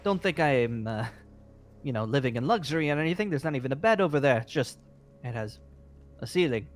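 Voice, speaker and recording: A man's voice: medium pitch, animated, fairly quick, soft background music, lightly acted. A man playing a genial, rambling guild master mage in an improvised game roleplay, speaking politely and hesitantly. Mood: genial, modest. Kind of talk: improvised Minecraft roleplay acting.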